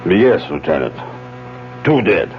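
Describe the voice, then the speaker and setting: Deep-voiced, plainspoken policeman. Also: sing-song, slow, exaggerated voice. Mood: matter-of-fact, puzzled. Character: matter-of-fact, puzzled male policeman reporting by telephone. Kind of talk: 1970s radio drama acting. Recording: muffled 1970s radio, faint mains hum.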